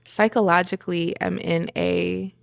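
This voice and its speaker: A woman giving a reflective personal monologue in halting phrases, with pauses and emphatic repetition. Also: on the low side, fairly even intonation, creaky, no echo. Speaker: vlogger sharing a personal journey.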